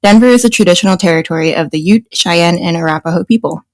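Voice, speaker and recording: A woman's voice: fairly low pitch, quick pace, dry recording. A young woman from New Jersey co-hosting a casual podcast, reading a scripted intro and then chatting loosely with fillers. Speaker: podcast co-host.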